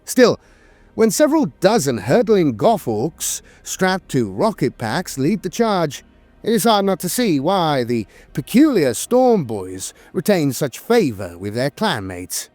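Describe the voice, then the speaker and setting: A man's voice: fairly high pitch, sing-song, a little slow, a little mellow, no room sound, faint music behind. A man reading scripted fantasy lore narration in a dramatic, emphatic storytelling manner.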